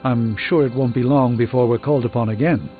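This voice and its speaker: An actor playing a learned, composed sorcerer in a video game, speaking in a formal, eloquent, dryly witty way. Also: male voice, medium pitch, steady tone, brisk, narrow, tinny audio, soft background music, close to an everyday voice. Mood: wistful. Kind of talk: scripted video game dialogue.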